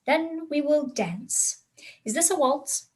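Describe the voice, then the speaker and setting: Innocent, eager teenage girl for period stage drama, played by a woman; high pitch, guileless. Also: lively intonation, takes it slowly, darkish tone. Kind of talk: staged play reading.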